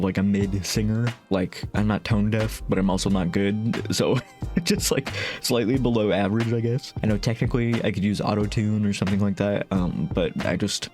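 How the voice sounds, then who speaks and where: A man's voice: lowish voice, darkish tone. A man chatting casually through a fan FAQ about making song covers, rambling and self-deprecating, with lots of fillers, restarts and little laughs. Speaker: vocal synth song cover creator. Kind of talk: casual FAQ chat to camera.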